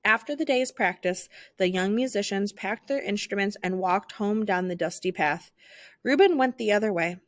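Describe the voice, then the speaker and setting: A woman narrating a children's picture book aloud in an expressive, theatrical storytelling style. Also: mid-range voice, normal speed.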